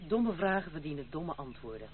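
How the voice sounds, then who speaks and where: A woman's voice: low-pitched, sing-song, a little fast, a crowd in the background. An actress playing a proud, wilful young woman in a period radio drama, firm and guarded in manner. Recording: muffled, hissy old radio play.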